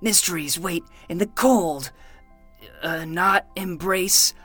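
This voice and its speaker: A woman's voice: fairly low pitch, takes it slowly, a bit bright, husky, dry recording, soft background music, exaggerated voice. A woman voicing an earnest twelve-year-old boy who plays private investigator, delivering lines with solemn, melodramatic detective flair in a comedy audio drama. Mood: dramatic.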